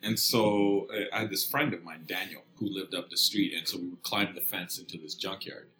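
A man's voice: low-pitched, steady tone, fairly quick, pushed and bright, reverberant, rough recording. A middle-aged man from Kenya, a podcast guest telling a casual rambling story with frequent laughs. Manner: rambling, laughs often between phrases.

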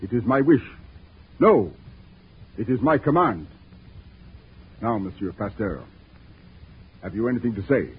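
An actor playing a stern, haughty French emperor in a 1940s radio drama, with a husky, commanding and formal delivery. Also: male voice, fairly low pitch, animated, unhurried, long pauses, full character voice. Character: imperious French emperor, stern and easily angered. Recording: muffled phone-like old radio recording.

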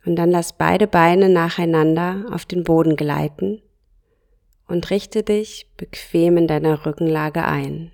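Slow, calm woman guiding yoga nidra. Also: lowish voice, creaky. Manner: slow, soft and calm, with pauses between short phrases. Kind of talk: guided relaxation meditation.

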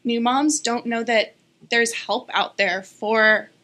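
A woman speech-language pathologist explaining voice and hormones in an interview, steady and informative with frequent ums. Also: a little high, slow pace, a little hiss or hum. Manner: clear, informative, many ums.

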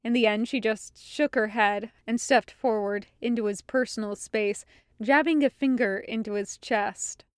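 Female storyteller, expressive fiction narration, smooth clear tone, slow pace, close dry recording. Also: fairly high pitch, no echo. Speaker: podfic narrator.

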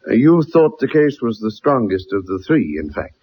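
An actor playing a gruff older colonel, a proud father, in a radio drama, on an old radio recording. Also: lowish voice, big pitch swings, normal speed, highs cut off. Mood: grave, resigned.

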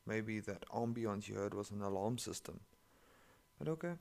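Man, English, sarcastic swearing story reader, deep and gravelly. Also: moderate pace, a little mellow. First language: not English. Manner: sarcastic, profane running commentary. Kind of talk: reading online stories aloud with commentary.

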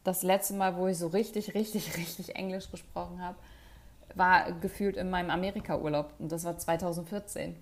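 A woman's voice: on the low side, steady tone, normal speed. Chatty woman in casual conversation. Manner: chatty, laughs often, many fillers. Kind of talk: casual chat.

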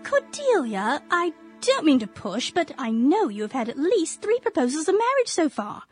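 An actress playing an affectionate widowed Victorian English aunt of thirty in a radio drama, refined and earnest. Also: female voice, high voice, sing-song, moderate pace, faint music behind. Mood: fondly advising. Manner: refined, earnest, fondly advising.

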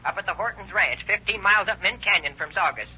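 A worried country caller in an old radio drama. His voice is high, very sharp and raspy. It comes through a muffled telephone-like recording. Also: expressive, fast, exaggerated voice. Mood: urgent, anxious. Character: anxious male rural caller reporting trouble. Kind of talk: 1930s radio drama acting.

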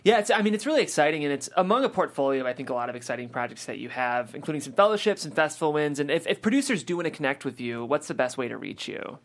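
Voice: mid-range voice, lively intonation, very fast, few pauses. A show host interviewing a guest in a casual, conversational style.

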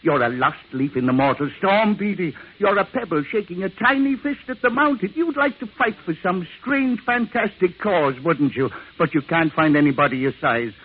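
A man's voice: high voice, animated, normal speed, hardly any pauses, breathy edge. A man acting in an old radio drama as a drunken ex-bootlegger who rambles in flowery, theatrical, grandiloquent speech. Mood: grandiose lamenting. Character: florid drunken male ex-bootlegger, theatrical and philosophizing. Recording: tinny, band-limited vintage radio recording.

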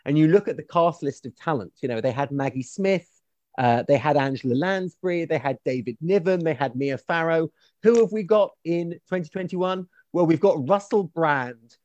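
A man's voice: a little high, a little mellow, dry recording, dull audio. A middle-aged man co-hosting a casual critical discussion in an erudite, opinionated way. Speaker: podcast co-host, historian.

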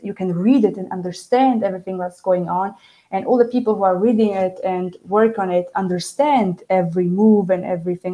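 A young woman whose first language is Romanian speaks English as an interview guest, often restarting and correcting herself. Her voice is warm and mellow, at a middle pitch for a woman. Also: low-quality recording. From Germany. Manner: halting, restarts and self-corrections. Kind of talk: casual interview talk.

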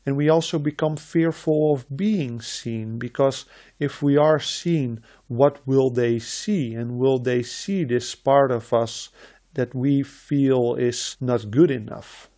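A man from the Netherlands, a shaman hosting a podcast, speaking in a slow, measured, reflective way with repeated phrases. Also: mid-range voice, dark tone. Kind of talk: slow reflective spiritual talk.

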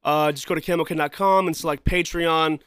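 A man talks loosely into a close home mic, circling back on his words and filling gaps with "you know" and "man." His voice sits a little high, with a throat that keeps catching. The sound is dry, with no room around it. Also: steady tone, brisk, fairly bright tone, clean tone, dry recording. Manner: loose, rambling, repeats himself, frequent "you know" and "man". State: throat trouble, coughing and clearing throat. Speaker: podcast host and musician. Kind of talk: casual solo podcast talk.

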